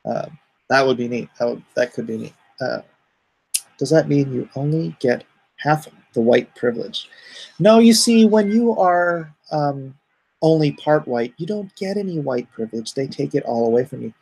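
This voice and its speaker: A middle-aged man streaming live from home, reading chat aloud and answering it in an easygoing, even-tempered way. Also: medium pitch, moderate pace, creaky, slightly rough, rough recording. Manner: calls himself soothing and even-tempered; reads chat comments aloud and answers them.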